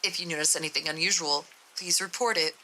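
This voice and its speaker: A woman voicing a calm, insistent research doctor in a horror story read-aloud, formal and detached. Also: low-pitched, fast, slight background noise, voice pushed down, rough recording.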